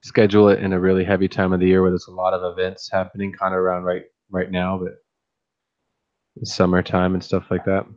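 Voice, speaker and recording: A man with a deep, very low voice talks in a flat, even tone. He rambles easily, thinking aloud and restarting often as he chats late at night. The sound is a little muffled and lo-fi. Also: a little fast. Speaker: fish-keeping streamer and YouTuber. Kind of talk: casual late-night chat.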